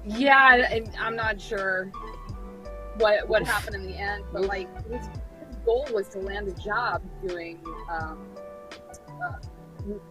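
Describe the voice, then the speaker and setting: A woman's voice: mid-range voice, slow, pauses often, music in the background, rough recording. A young adult woman telling a casual anecdote to a group on an online livestream.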